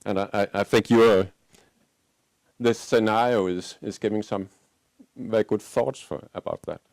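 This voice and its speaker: Low, creaky-voiced Danish man speaking haltingly. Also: fairly even intonation, a little mellow, no echo. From Denmark. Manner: hesitant, halting, searching for words. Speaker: panelist, agricultural policy advocate. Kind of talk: unscripted panel remarks.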